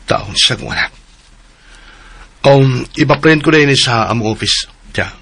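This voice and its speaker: A man's voice: mid-range voice, animated, unhurried, sharp tone, breathy edge, dry recording, exaggerated voice. An actor voicing a grieving husband missing his wife, earnest and polite in a radio drama.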